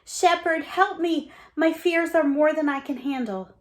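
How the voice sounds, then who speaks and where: A woman voicing a timid, frightened young woman in a storybook, pleading in a high, quavering voice. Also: measured pace.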